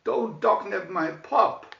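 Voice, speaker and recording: A man's voice: high-pitched, animated, takes it slowly, creaky, pitched above the performer's own voice, exaggerated voice. A man voicing a plucky, indignant little cartoon puppy from a storybook, exclaiming in a yipping manner. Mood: indignant, defiant. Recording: muffled, echoey room recording.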